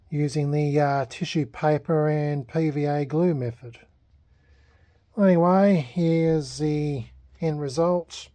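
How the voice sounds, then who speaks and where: A man's voice: fairly high pitch, dark tone, no room sound. A man with an Australian accent talking casually and unscripted in a hobby restoration vlog, hesitant, laid-back and self-deprecating. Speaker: vlogger.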